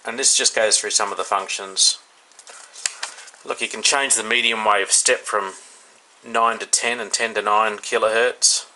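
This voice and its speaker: A man with an Australian accent giving a casual, unscripted hands-on product review, haltingly thinking aloud. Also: lowish voice, fairly even intonation. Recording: faint background noise.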